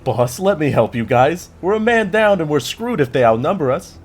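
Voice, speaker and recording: Man playing burly dim male mercenary, very varied pitch, sci-fi audio drama, light background music. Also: sing-song, moderate pace, breathy edge. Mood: eager. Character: dim burly drug-using male mercenary gunner, confused.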